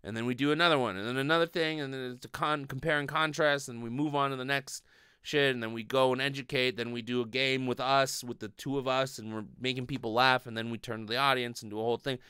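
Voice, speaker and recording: A man in his thirties giving a casual comic monologue as a podcast host, animated, rambling and sweary, close on a desk mic. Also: mid-range voice, fast talker, clean tone, dry recording. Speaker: podcast host, improv performer. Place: small bedroom, indoors. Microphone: desk condenser mic with pop filter, close.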